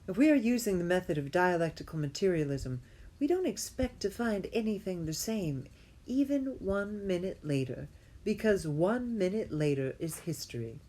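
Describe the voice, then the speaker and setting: Woman w a slightly low voice reading some scholarly text out loud, kinda halting, redoes phrases. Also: animated. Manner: halting, often repeats phrases to correct herself. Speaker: reader. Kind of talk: amateur reading aloud of an academic text.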